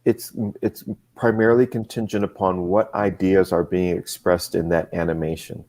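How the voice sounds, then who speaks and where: Man, very low register, thoughtful comics creator who talks haltingly with restarts. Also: little pitch movement, darkish tone. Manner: halting, restarts often, measured. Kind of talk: casual discussion.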